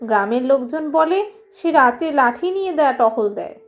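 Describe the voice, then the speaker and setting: A woman voicing female village characters, a mother and a young girl, in an animated fairy tale. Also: high-pitched, moderate pace, soft background music. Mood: wary. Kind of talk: animated moral story dialogue.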